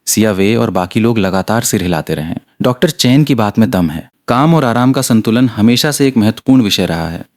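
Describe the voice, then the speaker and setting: A man's voice: on the low side, a little mellow. A man reading a scripted story aloud as an audiobook narrator.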